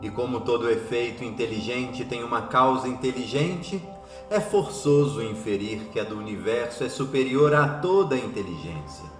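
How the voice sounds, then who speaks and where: A man's voice: mid-range voice, lively intonation, normal speed, close to an everyday voice. An actor playing an impassioned, devout man praying aloud to God in a radio drama, solemn, declamatory and poetic. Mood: solemn awe. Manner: solemn, declamatory, poetic, with repeated rising invocations. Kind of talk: radio drama prayer oration. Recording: roomy echo with music underneath.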